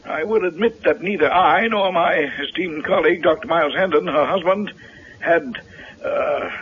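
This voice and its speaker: Guy doing stuffy offended doctor, high voice, very formal and wordy, dull old radio recording. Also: moderate pace, natural voice. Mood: defensive, pompous. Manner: pompous, precise, long-winded formal diction. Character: pompous, indignant physician, condescending and defensive. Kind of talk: 1970s radio drama acting.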